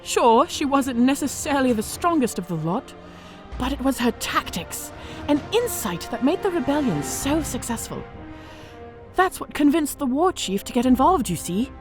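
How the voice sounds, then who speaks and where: An actress playing a battle-scarred, worldly female orc officer telling war stories in an audio drama with measured, theatrical delivery. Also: high-pitched, sing-song, music in the background. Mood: matter-of-fact. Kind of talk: audio drama character acting.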